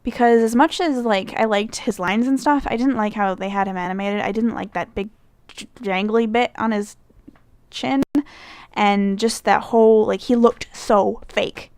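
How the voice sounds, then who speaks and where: A woman's voice: mid-range voice, fairly even intonation, normal speed, a little mellow, clear, resonant tone, no room sound. A young woman from Michigan with a Midwestern American accent, chatting casually through a fan questionnaire, rambling with lots of fillers and self-corrections. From Michigan, United States. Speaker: vlogger.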